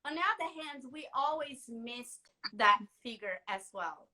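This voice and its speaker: A woman journalist hosting a conversational interview, enthusiastic and warm. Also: on the high side, expressive, a little slow, crowd noise, low-quality recording. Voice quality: warm, enthusiastic, restarts often. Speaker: host, journalist.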